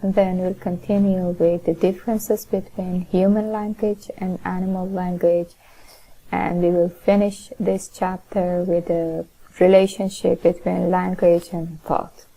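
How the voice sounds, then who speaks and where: A woman with a Persian accent giving a recorded linguistics lecture in a measured, explanatory way, with frequent fillers. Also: lowish voice, flat delivery, mellow, low-quality recording.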